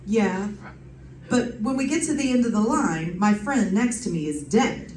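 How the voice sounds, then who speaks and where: A woman plays a sardonic lady private eye from an old-time radio show. She delivers snappy wisecracks at a medium pitch. The sound is roomy, picked up from a distance. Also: lively intonation, a little slow, darkish tone, slight background noise, lightly acted. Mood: businesslike, wry. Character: wry, quick-witted female private detective narrating her case. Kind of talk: old-time radio detective drama acting. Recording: roomy, distant stage pickup.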